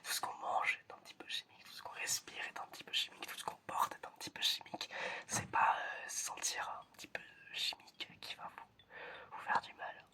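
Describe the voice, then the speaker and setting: A whispering ASMR performer plays a quirky, mildly suspicious family doctor, talking softly and haltingly and often repeating phrases. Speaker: ASMR creator playing a general practitioner.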